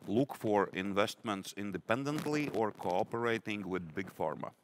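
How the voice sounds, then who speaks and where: A man moderating a conference Q&A, reading out audience questions in a halting way with many fillers. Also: lowish voice, expressive, a little hiss or hum. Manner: hesitant, halting, full of fillers.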